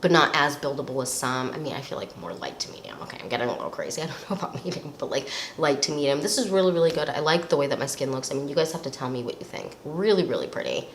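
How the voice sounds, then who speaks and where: A woman's voice: very deep voice, lively intonation, fast, hardly any pauses. A young woman with a slightly nasal voice from a cold, chatting casually and rambling with lots of fillers while reviewing products. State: recovering from a cold. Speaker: beauty vlogger. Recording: echoey room with faint noise.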